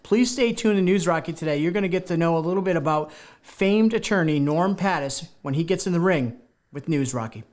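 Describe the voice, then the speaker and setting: A male talk show host with a slightly high voice, speaking in a TV studio. He sounds eager and rambles, circling back and stumbling over his words. He keeps the show moving with excited introductions. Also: a little fast, a little hiss or hum. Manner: enthusiastic, rambling, many fillers and restarts. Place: local TV studio.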